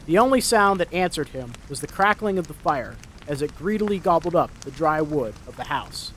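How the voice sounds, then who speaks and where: A man's voice: on the high side, animated, moderate pace, slight background noise. A man reading a scripted story as a dramatic narrator. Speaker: narrator, reader. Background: story sound effects.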